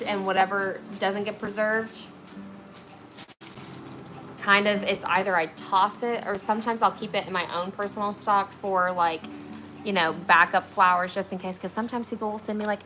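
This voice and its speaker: A woman's voice: medium pitch, fairly even intonation, normal speed, bright, forceful tone, soft background music, low-quality recording. A woman in her twenties, a flower preservation artist hosting a livestream, who chats casually with viewers while she works and fills her talk with "like" and "okay?". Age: 20s. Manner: chatty, filler-heavy, often checks in with "okay?". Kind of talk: casual livestream chat while working, reading viewer comments.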